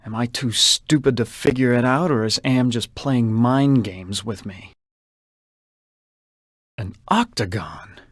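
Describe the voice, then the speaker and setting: A suave, self-regarding con man in a video game. He has a mid-pitched voice and thinks aloud in a theatrical, brooding way, wary of what surrounds him. The sound is close and dry. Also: takes it slowly, no echo. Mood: puzzled, uneasy, then curious, wondering. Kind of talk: video game character monologue.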